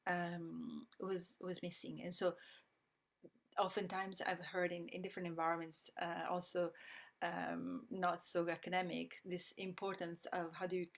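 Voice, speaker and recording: A woman with an Italian accent and a slightly low voice talks through her ideas slowly and thoughtfully. She pauses often and fills the gaps with ums, and she restarts sentences as she searches for words. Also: level tone, gravelly. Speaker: guest, systems thinking educator. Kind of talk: reflective interview answers.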